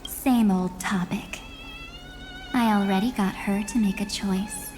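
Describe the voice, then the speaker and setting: A woman voicing a haughty, world-weary antagonist in a video game, measured and condescending. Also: mid-range voice, a little mellow, faint music behind, natural voice. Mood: dismissive.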